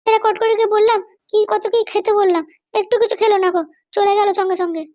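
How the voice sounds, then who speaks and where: A man voicing a bossy, short-tempered middle-aged cartoon wife with a West Bengal accent and a pitched-up voice. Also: steady tone, fast, a little mellow, dry recording, studio quality. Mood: insistent. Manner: scolding, threatening. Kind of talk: comic cartoon dialogue.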